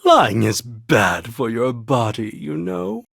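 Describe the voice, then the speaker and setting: A husky, wildly swooping polite interrogator. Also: medium pitch, sing-song, slow pace, no room sound, clean studio sound, full character voice. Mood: probing. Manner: mock-polite, probing, theatrical. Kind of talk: dramatic video game cutscene dialogue.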